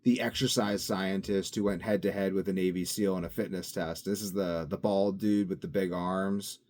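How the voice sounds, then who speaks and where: A man's voice: deep voice, steady tone, normal speed, darkish tone. A young man in a casual daily video diary, rambling and upbeat with lots of fillers and restarts. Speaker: vlogger.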